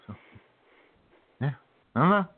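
A man co-hosting a comedy podcast in casual, joking banter with a laid-back, drawling manner. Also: measured pace. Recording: thin phone-like band-limited audio.

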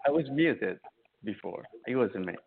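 Italian guy w accent as interview guest, polite n agreeable, gravelly lowish voice, tinny phone sound. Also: lively intonation, moderate pace, a crowd in the background. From Italy. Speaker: guest, startup founder explaining his product. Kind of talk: unscripted interview answers. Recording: narrow, tinny phone-like connection.

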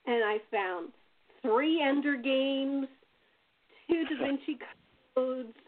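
High-voiced woman on a tinny line. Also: takes it slowly, slight background noise. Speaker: host and playwright. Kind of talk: casual panel chat. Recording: narrow tinny phone-like call audio.

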